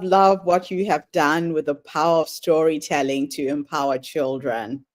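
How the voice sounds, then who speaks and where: Female host, lowish voice, effusive interviewer. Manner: effusive, enthusiastic praise. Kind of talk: interview hosting.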